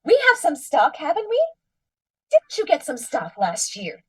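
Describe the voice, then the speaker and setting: An actress playing a nagging, extravagant wife in a 1940s-style radio comedy, prim and mock-sweet. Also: female voice, sits high, wildly swooping pitch, a little slow, fairly bright tone, recorded from a distance, exaggerated voice.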